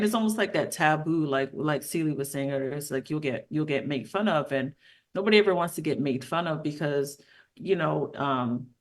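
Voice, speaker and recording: A woman raised in the Southern US sharing reflections in a class discussion over a video call, halting, with many "um"s. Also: low-pitched. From Southern United States. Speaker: class facilitator.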